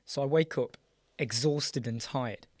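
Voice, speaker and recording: A hoarse, croaky British man hosting a casual live chat, greeting viewers by name and trailing off into restarts. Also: medium pitch, a little slow, darkish tone, no echo. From United Kingdom. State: hoarse, croaky, clearing his throat. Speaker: live chat show host. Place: small dark room with soundproof panels.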